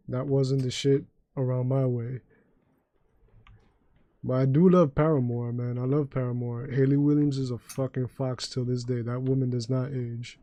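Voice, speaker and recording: A young man with a deep voice chatting loosely and profanely while streaming a game and taking chat requests. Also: mellow, no room sound. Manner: loose, profane, joking, sings badly on request. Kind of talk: casual gaming livestream chat.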